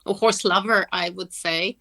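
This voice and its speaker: A woman's voice: mid-range voice, steady tone, moderate pace, bright, forceful tone. A woman from Russia, a guest on an online interview, speaking hesitantly with many fillers. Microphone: video call.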